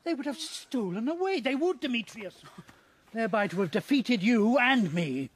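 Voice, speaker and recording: A man's voice: very high voice, very animated, normal speed, exaggerated voice. An actor playing a fussy, indignant old father in Shakespearean radio drama, agitated and spluttering. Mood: outraged.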